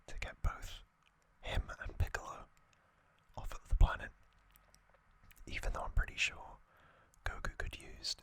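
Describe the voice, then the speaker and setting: Asmr host whispering super slowly, breathy n unvoiced, kinda hesitant explainer style. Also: sharp tone.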